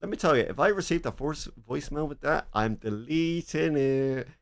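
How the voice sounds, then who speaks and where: A man's voice: on the high side, normal speed. A man doing casual live reaction commentary, exclamatory, mocking and jokey, often repeating his words for effect. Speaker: streamer reacting to videos.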